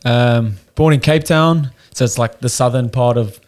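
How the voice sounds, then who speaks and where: A man's voice: mid-range voice, moderate pace, darkish tone. A young South African man with a South African accent, a podcast guest talking candidly, swearing now and then and often restarting his sentences. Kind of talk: casual chat.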